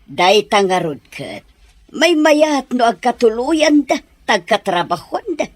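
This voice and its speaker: A woman playing an older mother, warm and coaxing, who laughs easily while chatting. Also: on the high side, big pitch swings, slow, dry recording, full character voice. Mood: chatty. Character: aging mother, gentle, eager to see son wed. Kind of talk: audio drama acting.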